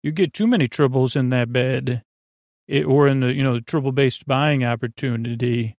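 A man hosting a sleep podcast in a creaky voice, meandering through tangents, halting and repeating himself as he trails off mid-thought. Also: medium pitch, darkish tone, no echo. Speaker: podcast host.

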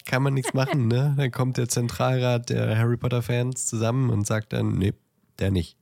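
A man's voice: medium pitch, fairly even intonation, moderate pace, gravelly, darkish tone, dry recording. A man co-hosting a podcast in casual, teasing, mock-serious banter. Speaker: podcast co-host. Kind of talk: casual banter between co-hosts.

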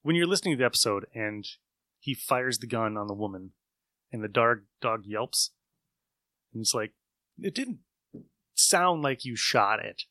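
A man's voice: medium pitch, animated, moderate pace, long pauses, pushed and bright, no room sound. A man co-hosting a podcast, talking casually about old radio shows. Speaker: podcast co-host.

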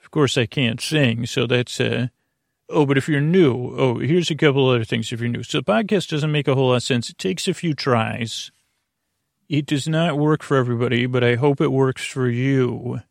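Creaky-voiced man, rambling and halting. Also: mid-range voice, no room sound, studio quality. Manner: meandering, halting, circles back and trails off. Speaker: podcast host. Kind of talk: rambling sleep podcast intro monologue.

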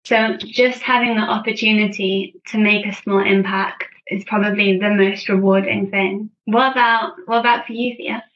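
A woman's voice: mid-range voice, steady tone, normal speed, hardly any pauses, highs cut off. A woman speaking as a podcast guest in casual conversation, effusive and candid, with informal phrasing peppered with "like". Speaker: podcast guest, finance blogger and writer. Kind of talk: casual interview chat.

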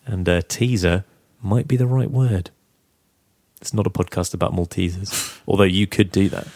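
A young man hosting a casual podcast, his voice croaky, chatting, teasing and laughing often. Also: deep voice, steady tone, normal speed, darkish tone, no room sound. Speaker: podcast host. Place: flat.

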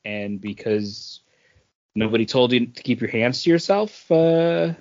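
A man's voice: mid-range voice, lively intonation, unhurried, a little mellow. A man co-hosting a podcast, giving his opinions in casual conversation. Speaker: podcast co-host. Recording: dull, lo-fi podcast audio.

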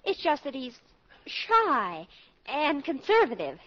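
A woman's voice: high-pitched, huge pitch swings, slow pace, bright, forceful tone, exaggerated voice. A woman in a 1940s radio drama playing an eager, lovestruck college girl of seventeen, earnest and chatty. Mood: dreamy, earnest. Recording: dull vintage radio recording.

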